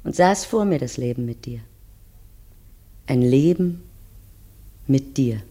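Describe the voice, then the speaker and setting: A woman playing a wistful wife who narrates her memories in a measured, poetic radio play style. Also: extremely low pitch, animated, mellow, heavily acted. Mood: solemn, yearning. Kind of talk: dramatic first-person radio play narration.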